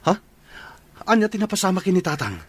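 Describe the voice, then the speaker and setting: A man's voice: high voice, sing-song, fast, husky, exaggerated voice. A man playing a busy, concerned younger brother in a radio drama. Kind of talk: Ilocano radio drama acting.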